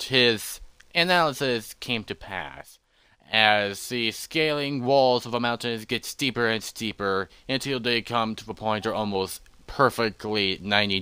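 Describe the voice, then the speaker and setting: A man telling a fantasy story as its narrator, in halting, deliberate phrases with dramatic pauses. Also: lowish voice, pushed and bright, clear, resonant tone, no room sound.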